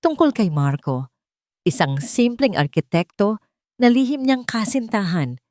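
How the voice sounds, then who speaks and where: A woman's voice: mid-range voice, very animated, measured pace, darkish tone, no echo, studio quality. A woman reading a scripted, dramatic love story as its narrator.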